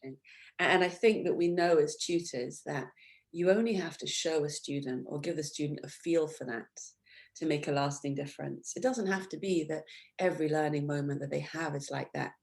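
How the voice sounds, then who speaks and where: A woman's voice: low-pitched, moderate pace, creaky, darkish tone. A woman hosting an education interview, enthusiastic and fond of tag questions. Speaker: podcast host. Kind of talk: conversational interview.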